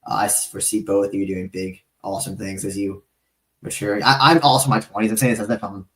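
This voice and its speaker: A man in his late twenties hosts a podcast interview with a fairly low voice. He chats in a relaxed, rambling way, full of asides. His voice carries a noticeable roomy echo. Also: fast talker. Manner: relaxed, chatty, rambling with fillers and praise. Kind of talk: casual interview chat.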